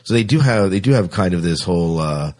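A man's voice: sits low, lively intonation, brisk, darkish tone. A man hosting a podcast in a casual, chatty way. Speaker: podcast host.

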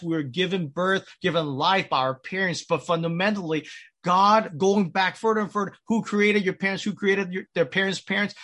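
A pastor delivering a sermon with an American accent, measured and pausing, with frequent restarts. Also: male voice, on the high side, pushed and bright. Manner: measured preaching with pauses and restarts.

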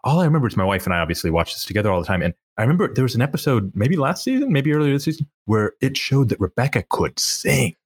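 A man's voice: medium pitch, fast talker, few pauses, breathy edge. A man from Pakistan, a guest on a podcast, telling stories in easy casual chat and laughing readily. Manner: chatty, laughs easily, lively storytelling.

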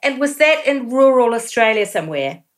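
A woman hosting a book podcast, reading an intro and asking interview questions in a friendly, clear way. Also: a little high, big pitch swings, moderate pace. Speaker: podcast host.

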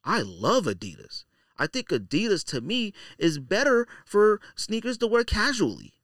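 A man's voice: high-pitched, huge pitch swings, moderate pace, fairly bright tone, no room sound, clean studio sound. A man hosting a solo podcast, talking casually and directly to listeners in slangy, opinionated speech, repeating phrases for emphasis. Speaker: podcast host.

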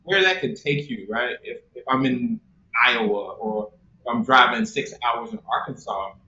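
Bright-voiced young man, echoey call. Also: medium pitch, normal speed. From South Carolina, US. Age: young adult. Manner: chatty and animated, laughs often, many fillers. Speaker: guest. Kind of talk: conversational interview. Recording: echoey room, muffled audio, faint noise.

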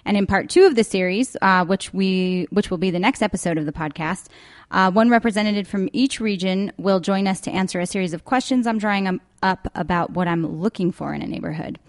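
A woman's voice: medium pitch, brisk. A woman hosting a casual local podcast, talking chattily with frequent ums and uhs. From Denver. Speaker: podcast host. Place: downtown clock tower.

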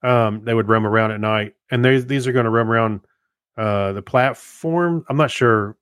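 A man co-hosting a podcast in casual chat, talking in a halting, repetitive, folksy and joking way. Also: medium pitch, a bit bright, smooth, no echo, clean studio sound. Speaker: podcast co-host.

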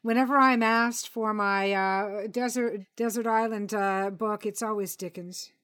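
A woman's voice: mid-range voice, steady tone, unhurried, fairly bright tone. A woman hosting a conversational literary interview podcast, with an encouraging, affirming manner.

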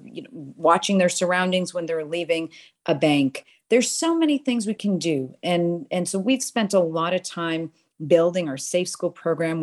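Earnest, low-pitched woman from Boston. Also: darkish tone. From Boston, USA. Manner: earnest and enthusiastic, talks in long run-on stretches with restarts. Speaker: guest, nonprofit crime-prevention leader. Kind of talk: conversational podcast interview.

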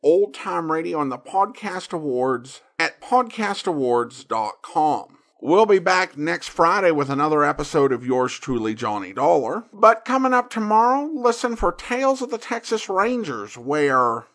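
A man hosting a podcast, reading listener mail and signing off in a halting, earnest, conversational way. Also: a little high, sing-song, fairly bright tone. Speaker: podcast host. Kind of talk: casual listener-mail commentary and sign-off.

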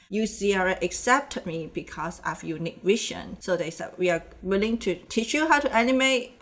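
A middle-aged woman tells her story in a relaxed podcast interview. Her voice sits a little low, and she talks in a cheerful, chatty way with loose grammar, laughing easily. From Hong Kong. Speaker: guest, animator and illustrator.

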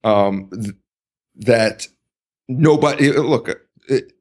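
A man speaking as a podcast guest, talking in a deliberate, halting way with many pauses and restarts. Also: medium pitch, darkish tone. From United States. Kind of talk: casual conversational interview.

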